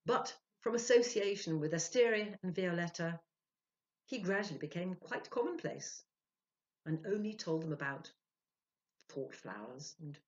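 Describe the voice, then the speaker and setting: A woman in her sixties giving a theatrical, expressive dramatic reading of a story as a professional storyteller. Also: on the low side, measured pace. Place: small indoor room. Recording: dull lo-fi webcam sound.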